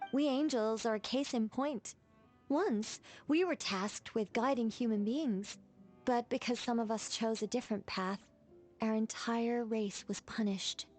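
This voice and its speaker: Female angel mage: higher-pitched, eloquent, whimsical game storyteller over soft music. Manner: theatrical, eloquent. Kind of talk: video game storytelling dialogue.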